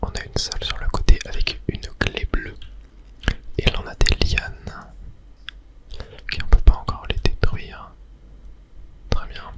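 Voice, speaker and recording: A voice that stays in a soft, unvoiced whisper throughout. The delivery is calm and soothing, in the manner of an ASMR gaming host guiding listeners step by step through play. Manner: calm, soothing, narrating each step as it goes. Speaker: video game streamer, ASMR channel host. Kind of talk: whispered ASMR gameplay commentary.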